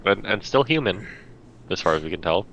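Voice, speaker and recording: A man with a deep voice and a forceful edge chats quickly during a tabletop game. His microphone sounds slightly lo-fi. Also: lively intonation, creaky. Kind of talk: casual game chat. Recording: dull lo-fi mic, faint noise.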